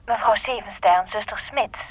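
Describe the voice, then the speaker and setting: An actress in a radio play playing a calm, businesslike hospital nurse with a formal, reassuring manner. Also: female voice, mid-range voice, moderate pace, slightly rough, dull audio, lightly acted. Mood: calm, official. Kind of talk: Dutch radio play acting.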